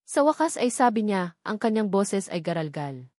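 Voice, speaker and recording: A woman's voice: medium pitch, sing-song, moderate pace, darkish tone, no room sound, studio quality. A woman with a Filipino accent reads a dramatic romance story as scripted narration.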